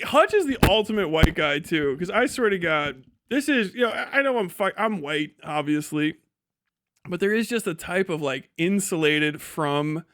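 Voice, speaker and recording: A man's voice: high voice, fairly bright tone, no echo, clean studio sound. A man hosting a livestream, giving casual, sarcastic and mocking reaction commentary full of swearing. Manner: sarcastic, mocking, laughs often. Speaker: livestream host.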